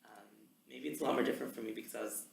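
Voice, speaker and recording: Young man, very gravelly voice, roomy sound, relaxed student chat. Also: medium pitch, rapid-fire. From Canada. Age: young adult. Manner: relaxed, many ums, talks at length. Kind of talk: casual conversation about language. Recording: roomy with faint background noise.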